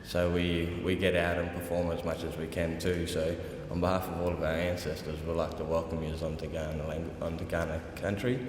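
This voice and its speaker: Low, even-toned young Australian man, echoey hall. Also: a little fast, hardly any pauses. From South Australia. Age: young adult. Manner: relaxed, colloquial, unscripted. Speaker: dance group leader and language teacher giving a welcome. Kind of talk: informal welcome speech to a conference. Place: large reverberant hall at a conference. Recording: echoey hall with long reverb, background noise.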